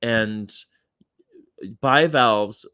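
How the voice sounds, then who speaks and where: A man's voice: mid-range voice, drawn-out words, sharp tone, smooth, no echo, clean studio sound. A man in casual chat as a guest, teasing with absurd jokes.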